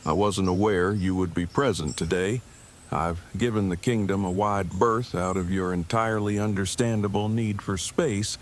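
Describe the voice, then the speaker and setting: A man's voice: lowish voice, slight background noise, close to an everyday voice. A man playing an awkward, timid, bookish man in an audio drama, with stiff, wordy, formal delivery.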